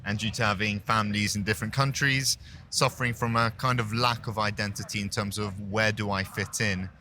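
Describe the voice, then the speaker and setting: A man's voice: fairly low pitch, fairly even intonation, fairly quick, sharp tone, a little hiss or hum. A young man in his twenties from Hong Kong, a business student, speaking articulately in an interview and posing rhetorical questions. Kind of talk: podcast interview.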